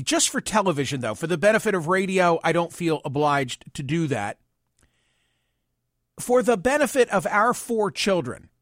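Man, 60s, host; measured, wry monologue, high-pitched and dry. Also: fairly bright tone, no room sound. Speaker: podcast and radio host. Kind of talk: reflective personal monologue.

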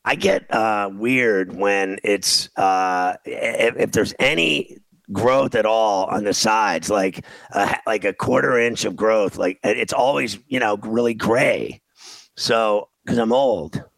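A man in his fifties rambling through a casual comic rant as a talk show host, exasperated and self-deprecating, with a gravelly voice. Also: lowish voice, slow pace, a bit bright, dry recording, rough recording.

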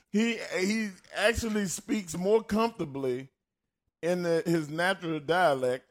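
A man's voice: high-pitched, takes it slowly. A man with a Texas country accent, co-hosting a casual podcast chat and telling stories in an easygoing way. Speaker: podcast co-host.

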